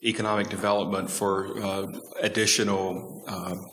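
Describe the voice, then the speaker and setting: A man's voice: deep voice, flat delivery, normal speed, fairly bright tone. A man moderating a staff forum, casual and joking, rambling through his points in a meeting room. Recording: very echoey hall with noise.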